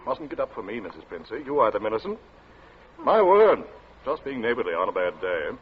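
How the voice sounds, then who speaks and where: A jaunty neighborly captain, with a medium-pitched voice that swoops freely. He is breezy, clipped and drily humorous in 1940s radio style, over a muffled, slightly noisy recording. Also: very animated, brisk, husky. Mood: breezy, jovial. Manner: breezy, clipped, dropping pronouns. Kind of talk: 1940s radio drama acting.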